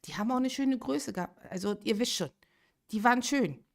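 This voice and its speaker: A woman's voice: a little high, fairly quick, vocal fry. A woman in her late thirties chatting casually on a live game stream, thinking aloud and answering viewers' chat. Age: 30s.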